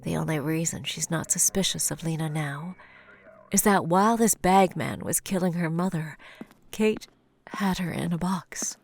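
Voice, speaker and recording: A woman narrating a dark audio drama as a solemn storyteller, in a measured, grave, scripted style. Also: deep voice, creaky, faint music behind. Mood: grave, suspenseful.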